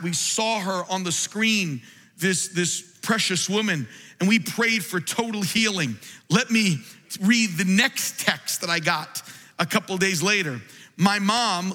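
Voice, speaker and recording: A man preaching a sermon in a large church, impassioned and building to shouts. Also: sits high. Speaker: pastor. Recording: long reverb of a large hall.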